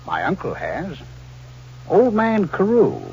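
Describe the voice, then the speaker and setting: An actor playing a sly, needling, falsely friendly crook who schemes murder, in 1940s radio style. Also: male voice, high-pitched, wildly swooping pitch, slow, darkish tone, husky, heavily acted. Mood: coldly menacing. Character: sly, fat scheming crook plotting his uncle's murder. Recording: dull, hissy old radio recording.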